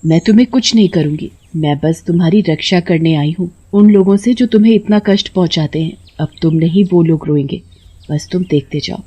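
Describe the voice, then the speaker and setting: A woman's voice: on the low side, fairly quick, mellow, husky, highs cut off. A woman voicing a protective witch ghost in a horror cartoon, reassuring yet ominous. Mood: soothing, ominous.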